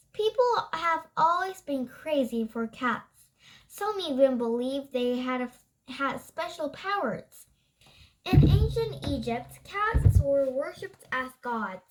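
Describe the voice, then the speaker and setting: A child reading a nonfiction book aloud, haltingly, stumbling over and rereading words. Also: high voice, animated, rough recording.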